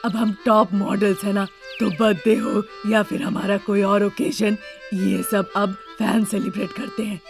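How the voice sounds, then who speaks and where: A woman voicing a practical, sceptical older mother-in-law with a creaky voice in a family story. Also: medium pitch, flat delivery, unhurried, music in the background, voice pushed down. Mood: matter-of-fact.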